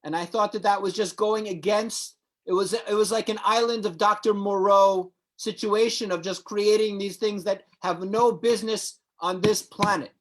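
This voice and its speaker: A male host with a high-pitched voice leads the chat, teasing his guest with mock-serious questions. His tone stays playful. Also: steady tone, moderate pace. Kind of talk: casual interview chat.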